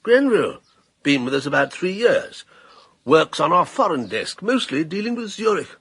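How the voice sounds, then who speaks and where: Persuasive banker, husky and gravelly, lively pitch, dry sound. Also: male voice, normal speed, no echo, full character voice. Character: middle-aged male banker, smooth and persuasive. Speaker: narrator and character. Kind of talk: radio drama.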